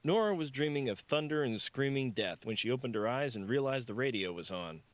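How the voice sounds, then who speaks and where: A man's voice: mid-range voice, lively intonation, brisk, heavy background noise. A man reading his own novel aloud as scripted audiobook narration.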